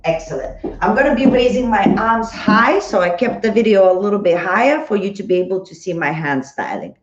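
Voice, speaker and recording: A woman's voice: mid-range voice, lively intonation, a little fast. A woman dance instructor leading a live-streamed class, bubbly and greeting viewers by name, her voice a little worn as she is losing it. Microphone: phone. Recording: roomy, slightly dull sound.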